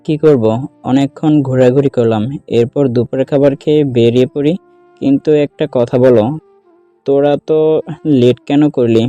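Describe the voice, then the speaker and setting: A man's voice: medium pitch, steady tone, normal speed, dark tone, dry recording, lo-fi sound, soft background music, close to an everyday voice. A man playing an easygoing, sensible young elder brother in an audio drama. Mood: casual. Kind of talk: dramatised audio story dialogue.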